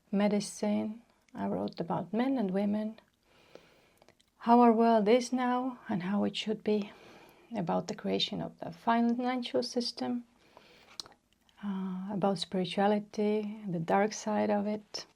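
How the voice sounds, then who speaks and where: A woman with an Estonian accent hosting a solo podcast, talking slowly and measuredly with many pauses. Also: medium pitch, gravelly, a little mellow. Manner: slow and measured, with frequent pauses. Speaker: podcast host. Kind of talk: unscripted solo podcast talk.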